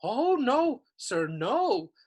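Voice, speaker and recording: A woman reading a story aloud and voicing a small, earnest male house elf in a high-pitched voice, humble and over-polite, calling everyone sir. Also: very animated, extremely slow, a little mellow, husky, exaggerated voice.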